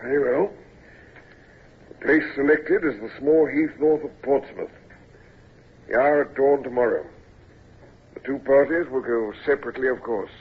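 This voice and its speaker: An actor playing a hearty senior naval lieutenant who talks in a bluff, coaxing way, in 1940s radio acting on a tinny old recording. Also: male voice, medium pitch, normal speed, long pauses, slightly rough, lightly acted. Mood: grave.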